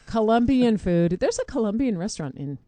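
A woman's voice: a little high, sing-song, brisk, crowd noise. A woman hosting a radio show in a casual, conversational style.

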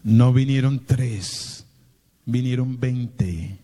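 Colombian priest preaching, dark voice in a hall. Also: male voice, mid-range voice, extremely slow. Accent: Colombian, from Medellín. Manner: dramatic, acts out the people he quotes. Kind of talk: storytelling sermon.

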